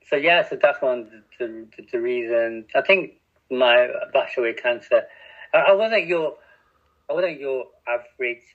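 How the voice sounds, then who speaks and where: A middle-aged man from England, speaking openly and reflectively as a podcast guest, often restarting his sentences and laughing at himself. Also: medium pitch. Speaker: podcast guest, radio community editor. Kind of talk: candid conversational interview. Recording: tinny phone-like line.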